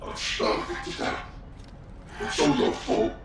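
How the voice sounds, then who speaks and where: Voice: unhurried, slight background noise, close to an everyday voice. A performer voicing the tormented voices of a giant monster in a video game, ranting in broken, shrieked outbursts. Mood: anguished rage. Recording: echoing, distant, buried under loud music.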